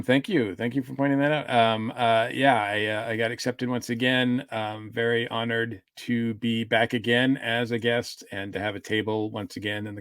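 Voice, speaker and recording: A man co-hosting a casual fan podcast, speaking in a halting way with frequent restarts. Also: medium pitch, fairly bright tone, no echo. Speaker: podcast co-host.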